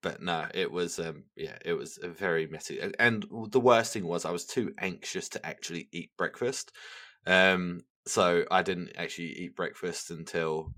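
A man's voice: deep voice, normal speed, fairly bright tone. A man co-hosting a podcast, rambling casually with lots of fillers and poking fun at himself. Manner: rambling, self-mocking, full of fillers. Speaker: podcast co-host. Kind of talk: casual chat.

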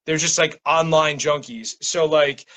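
Male, higher-pitched and bright, casual interview guest with a loose, meandering delivery. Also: fairly even intonation, measured pace. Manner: rambling, self-interrupting, frequent "like". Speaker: interview guest, poet and small-press publisher.

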